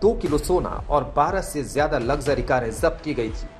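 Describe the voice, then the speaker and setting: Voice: mid-range voice, expressive, normal speed. A news narrator reading a scripted report. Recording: music bed under voice. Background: background music.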